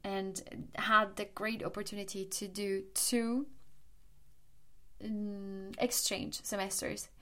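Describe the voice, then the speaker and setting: A woman's voice: mid-range voice, level tone, takes it slowly, fairly bright tone. Colombian-born woman telling her life story. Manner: chatty, frequent restarts and fillers, laughs while telling. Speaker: podcast host. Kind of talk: personal storytelling monologue.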